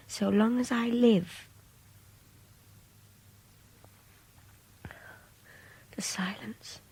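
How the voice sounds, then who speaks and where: A breathy, hushed young captive woman. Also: medium pitch, no room sound. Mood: desperate, intense. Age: young adult. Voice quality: breathy, often near-whispered, gravelly edge. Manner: hushed, brooding, confiding. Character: young kidnapped woman captive, frightened, contemptuous, passionate, intense. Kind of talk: radio drama monologue, intimate diary narration.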